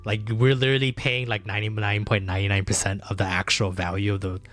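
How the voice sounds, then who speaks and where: A man co-hosting a casual live-stream chat who teases his partner and laughs readily. His voice sits a little low, and faint music runs in the background. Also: level tone, a bit bright. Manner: teasing, quick to laugh. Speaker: podcast co-host.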